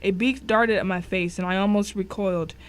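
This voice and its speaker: A woman's voice: medium pitch, steady tone, fairly quick, clean tone. A woman giving a dramatic first-person reading of a short story as one of its narrators.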